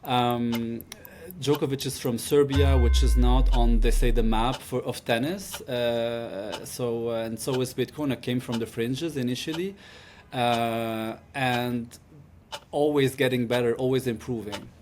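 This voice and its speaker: A man's voice: mid-range voice, fairly even intonation, a little slow, a little mellow, a little hiss or hum. Man from Lebanon, hesitant interview guest. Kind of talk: casual interview answers.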